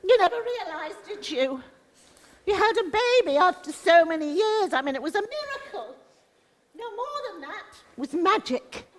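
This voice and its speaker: High, piercing wicked pantomime witch. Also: sing-song, normal speed. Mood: menacing, taunting. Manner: theatrical, taunting, cackling. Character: gloating wicked witch, bitter, theatrical pantomime villainess. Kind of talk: live pantomime stage acting. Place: indoor theatre stage. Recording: echoey theatre hall, long reverb.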